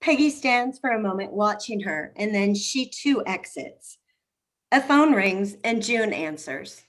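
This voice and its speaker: A woman's voice: medium pitch, animated, measured pace. A woman giving scripted narration, reading out the stage directions of a play. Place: video call.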